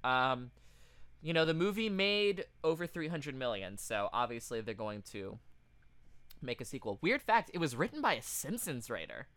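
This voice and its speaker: A man's voice: medium pitch, very animated, moderate pace, fairly bright tone, no room sound. A man co-hosting a podcast in casual comedic chat, profane and riffing as he goes. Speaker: podcast co-host.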